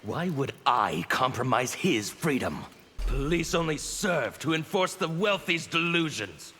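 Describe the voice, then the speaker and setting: A mocking anarchist fanatic in a fighting game. He drawls his defiant taunts slowly, swinging widely in pitch. The tone is sharp and forceful. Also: very animated, a little hiss or hum, exaggerated voice. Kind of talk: scripted fighting game dialogue.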